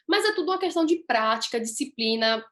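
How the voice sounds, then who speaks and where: A woman's voice: on the high side, animated, fast, a bit bright. A young woman from Italy, Italian her first language, an upbeat singer answering interview questions in chatty, laughing style.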